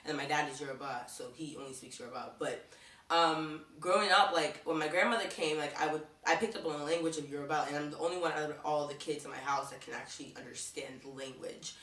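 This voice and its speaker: A woman's voice: low voice, quick pace, fairly bright tone, reverberant, recorded from a distance. A young woman vlogging casually to camera, chatting and leaning on "like" in nearly every sentence. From Baltimore, USA. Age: young adult. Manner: chatty, leans on "like" constantly.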